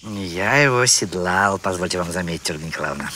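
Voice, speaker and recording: A male actor playing a sly, dignified older man in an animated comedy, mock-polite and drawn-out in his retorts. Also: sits low, faint music behind.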